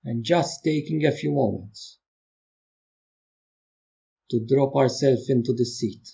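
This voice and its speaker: A man leading a guided meditation, giving gentle, calm instructions with long unhurried pauses. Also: medium pitch, vocal fry, breathy edge, muffled recording. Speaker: meditation teacher.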